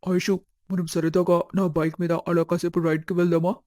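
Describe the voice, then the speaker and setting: A man voicing a smitten young man in an animated moral story, tender and eager with his girlfriend. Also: sits high, a little fast, no room sound, studio quality, lightly acted. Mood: loving.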